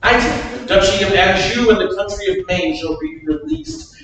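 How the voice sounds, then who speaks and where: A man's voice: high voice, expressive, normal speed, fairly bright tone, a little hiss or hum. A man playing the Duke of Gloucester, the king's proud and grieved uncle, in a staged Shakespeare reading, declaiming with rising passion. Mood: formal. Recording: echoey room, mic far off.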